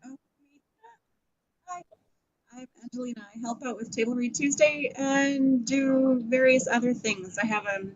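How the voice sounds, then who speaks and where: A woman's voice: on the high side, steady tone, measured pace, long pauses, no room sound. A woman chatting casually, scattered and trailing off as she goes. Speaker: filmmaker and show helper. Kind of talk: casual chat. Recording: dry, dull lo-fi audio.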